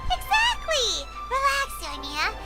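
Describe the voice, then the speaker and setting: A woman's voice: very high-pitched, wildly swooping pitch, takes it slowly, over loud music, full character voice. A woman voicing a small, cheerful, know-it-all fairy companion with chirpy, emphatic delivery in a video game. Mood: perky, reassuring. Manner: chirpy, emphatic, refers to self in third person.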